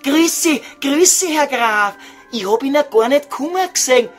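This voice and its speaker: A man's voice: very high-pitched, expressive, normal speed, soft background music. A man playing a cheeky, foul-mouthed estate agent in a comedy audio sketch, talking colloquially with a Viennese accent. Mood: wheedling, familiar. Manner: crude, wheedling, colloquial.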